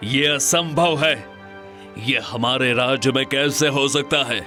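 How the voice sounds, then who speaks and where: An earnest cartoon storybook king, acted by a man with an authoritative, formal way of speaking. He takes his words slowly at a middling pitch. Music plays softly underneath. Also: fairly even intonation. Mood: worried. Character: earnest, authoritative adult Indian king in a folk tale. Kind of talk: dramatised cartoon storybook dialogue.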